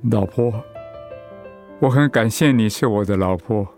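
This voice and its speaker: A man playing a steady, devoted middle-aged husband and father in a radio drama, speaking in an earnest, composed way. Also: lowish voice, a little slow, creaky, soft background music. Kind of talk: Mandarin radio drama acting.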